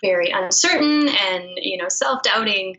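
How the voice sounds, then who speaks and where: Bright-voiced woman chatting candidly. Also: medium pitch, animated, measured pace, poor audio. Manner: candid, self-deprecating. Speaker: interview guest, folk musician and songwriter. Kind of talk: casual interview chat.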